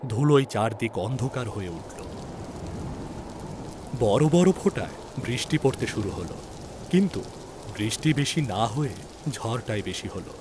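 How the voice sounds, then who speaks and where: Animated male storyteller, dramatic delivery. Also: mid-range voice, a little slow, long pauses, background noise. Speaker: story narrator. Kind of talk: dramatic scripted literary narration.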